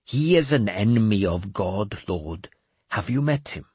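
A man voicing a mad, sly, self-proclaimed bishop who talks in a high, slow, sing-song preaching way. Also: expressive, darkish tone, husky, no room sound. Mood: scornful.

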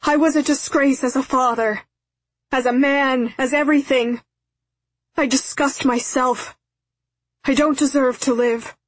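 A woman narrator plays a terrified, tearful father in an audiobook. The voice is raised and husky, pleading breathlessly and repeating itself in anguish. It sounds close and dry. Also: on the high side, steady tone, moderate pace, pauses often, no echo. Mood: anguished screaming. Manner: pleading, breathless, repetitive outcries. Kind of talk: audiobook character acting.

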